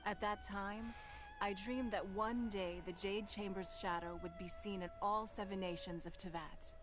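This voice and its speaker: A woman voicing a poised, commanding noblewoman ruler in a video game, speaking in a measured, formal way. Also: medium pitch, fairly even intonation, over music, natural voice. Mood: composed. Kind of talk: game voice acting.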